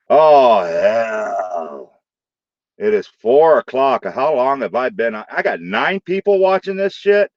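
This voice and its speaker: A man with a St. Louis accent hosting a late-night live stream from his room, joking loudly and swearing freely. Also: medium pitch, sing-song, takes it slowly, dry recording, muffled recording. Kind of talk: casual live stream chat.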